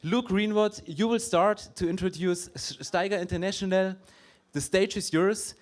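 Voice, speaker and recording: A pastor welcoming guests to his church, switching between German and English with quick apologetic asides. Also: sits high. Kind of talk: welcome speech at a church event. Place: church hall.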